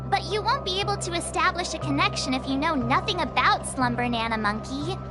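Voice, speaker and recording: Young girl adventurer for a game, perky and suspicious, extremely high, with music underneath. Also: lively intonation, brisk. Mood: suspicious, teasing. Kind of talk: video game character acting.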